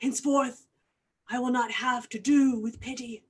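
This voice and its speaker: A fairly high voice carries solemn, archaic verse. A woman plays an aging doctor, stern and bent on vengeance, declaiming each vow in the manner of a Shakespearean stage tragedy. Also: steady tone, measured pace, slightly rough. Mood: wrathful resolve. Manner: grave, declamatory, archaic diction.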